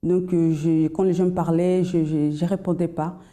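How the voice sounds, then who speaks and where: A young woman tells her story in a hesitant, faltering way, with many pauses and restarts. Her voice is deep and mellow and her slight foreign accent shows she speaks a second language. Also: flat delivery. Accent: slight foreign accent in French. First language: not French. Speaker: testimony guest. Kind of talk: personal testimony.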